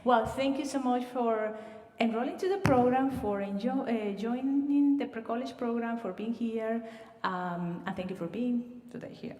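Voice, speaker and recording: A woman's voice: fairly high pitch, moderate pace. Venezuelan woman presenting in a reverberant hall. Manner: warm welcoming, slight non-native phrasing with fillers. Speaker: admissions director. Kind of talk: livestreamed presentation to students. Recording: roomy reverb, voice far from mic.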